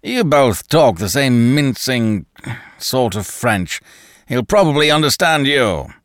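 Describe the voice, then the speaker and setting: A man's voice: medium pitch, expressive, slow, no echo, studio quality, pitched above the performer's own voice. A man voicing a coarse, domineering giant of a man who roars and hectors, raising his voice well above his narration. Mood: blustering. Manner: loud, blustering, hectoring.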